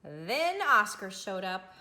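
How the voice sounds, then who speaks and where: Animated woman reading a storybook aloud. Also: mid-range voice, slow. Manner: animated, dramatic, with gasps and asides to young listeners. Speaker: library storytime reader. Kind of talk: expressive read-aloud of a children's picture book. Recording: roomy, echoing indoor recording.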